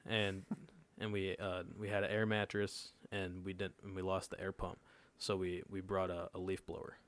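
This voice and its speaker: A man's voice: low-pitched, flat delivery, normal speed. A nineteen-year-old man telling a personal story in casual podcast chat, laid-back and often checking in with his listeners. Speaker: podcast co-host.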